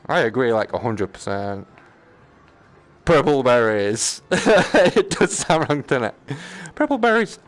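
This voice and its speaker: Animated, slangy man streaming a game. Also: medium pitch, a little slow. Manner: slangy, sweary, joking. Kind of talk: casual live-stream gameplay chat. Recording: clear background noise.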